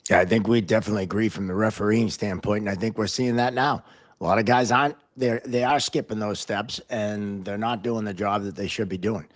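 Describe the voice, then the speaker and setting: An American man hosting a casual podcast interview, talking in a halting way and often repeating words. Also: fairly low pitch, steady tone. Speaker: podcast host, hockey referee.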